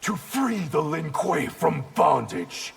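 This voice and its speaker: An actor playing a proud, bitter rogue ninja clan leader in a video game, gravelly and defiant, with cold deliberation. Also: male voice, fairly high pitch, very animated, slow, a little hiss or hum, full character voice. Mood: cold, accusing. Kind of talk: video game dramatic dialogue.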